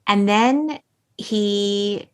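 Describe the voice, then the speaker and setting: A woman's voice: medium pitch, extremely slow, smooth. A woman hosting a podcast, retelling a film in an enthusiastic, emphatic, casual way and voicing quotes as she goes. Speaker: podcast host.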